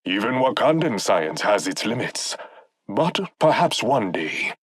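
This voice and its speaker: A regal warrior king of an African empire for a video game. The voice is low and measured, with an African accent and expressive, dignified phrasing. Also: breathy edge, full character voice. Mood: solemn, assured.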